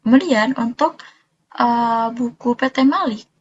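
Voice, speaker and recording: A woman's voice: on the high side, measured pace, dull audio. A young woman explaining material step by step in a student presentation, informal and a little hesitant. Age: young adult.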